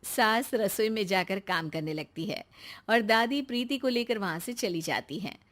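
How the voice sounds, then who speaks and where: A woman's voice: medium pitch, animated, normal speed, a bit bright. A woman narrator reading a scripted moral tale as storytelling.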